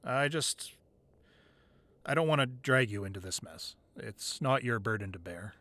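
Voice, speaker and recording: A man's voice: mid-range voice, expressive, measured pace, bright, forceful tone, dry recording. A man playing an affectionate boyfriend who secretly runs a crime ring, in an intimate, conversational ASMR roleplay. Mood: gentle, guarded. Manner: conversational, playful with partner, curt and commanding in business.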